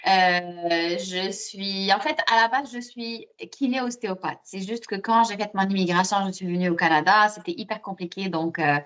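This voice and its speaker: A woman's voice: mid-range voice, fairly bright tone. Woman from Morocco, hesitant conversational storyteller. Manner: hesitant, repeats words while thinking. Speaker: podcast guest; osteopath and student. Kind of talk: casual interview, personal storytelling.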